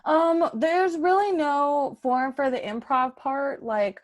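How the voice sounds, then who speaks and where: A woman chatting casually as a podcast organizer, thinking out loud in an informal, tentative way. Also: high-pitched, steady tone, slow pace. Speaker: podcast host and improv organizer. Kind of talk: casual planning chat.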